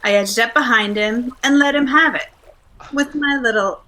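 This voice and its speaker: An actress playing a poised, clever detective's wife, coolly wry and teasing, in 1940s radio drama style. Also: female voice, a little high, normal speed, bright, forceful tone, rough recording. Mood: coolly triumphant. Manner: coolly wry, matter-of-fact.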